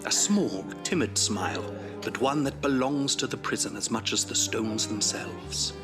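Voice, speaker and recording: A man narrating a period audio drama in a measured, literary storytelling manner. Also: mid-range voice, expressive, over music, lightly acted. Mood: wry, compassionate.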